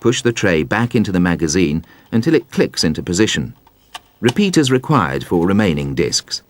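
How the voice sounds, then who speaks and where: A man reading scripted instructional narration in a measured, precise, explanatory way. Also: low-pitched, sing-song, slight background noise. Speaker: narrator of a car instruction video.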